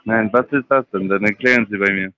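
A man's voice: on the low side, fairly even intonation, brisk, sharp tone, no room sound, muffled recording. A man who is not a native German speaker, calling from a trading firm, speaking broken German and asking confused questions. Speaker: scam call-center caller.

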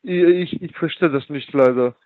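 A man's voice: medium pitch, moderate pace, dry recording, phone-quality sound. A man working a call center line, flustered and apologetic, often asking the caller to repeat. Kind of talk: phone call.

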